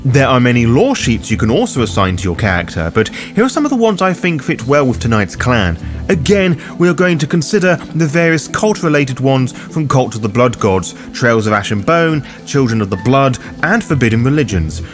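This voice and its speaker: A man hosting a scripted video guide, speaking in a theatrical, deliberate way. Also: mid-range voice, sing-song, faint music behind. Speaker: host of a roleplay guide.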